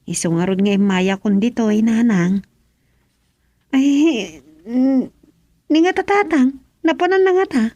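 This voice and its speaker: A woman's voice: a little high, measured pace, pauses often, warm tone, no room sound. A woman with an Ilocano Filipino accent acting as an inquisitive, nagging young village daughter in a radio serial. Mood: mildly fretful.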